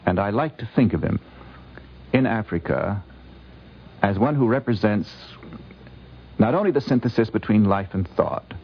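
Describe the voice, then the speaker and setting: A man giving considered, explanatory commentary as a guest scholar, lecturing deliberately with emphatic phrasing, on a vintage radio recording. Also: fairly low pitch, animated, breathy edge, highs cut off.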